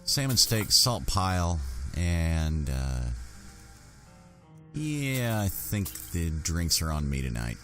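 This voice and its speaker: A man's voice: low-pitched, big pitch swings, slow, a bit bright, faint music behind. A man giving casual, musing in-character commentary while roleplaying through a video game. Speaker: gamer roleplaying his character.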